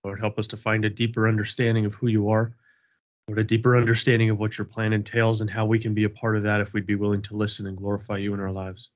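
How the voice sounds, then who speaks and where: A man with a slight cold leads a group over a video call, his voice low-pitched and steady with little rise and fall. He prays in an earnest, repeating cadence, then talks casually. The call audio sounds dull and muffled. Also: fast talker. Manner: earnest, repetitive prayer cadence. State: has a cold, sniffling. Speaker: Bible study leader. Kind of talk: prayer and casual group talk.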